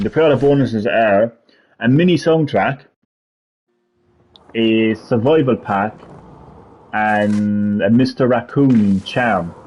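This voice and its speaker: A man hosting a casual gaming stream, rambling, laughing often and reading web text aloud haltingly. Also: medium pitch, a little mellow, a little hiss or hum. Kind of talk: casual gameplay chat.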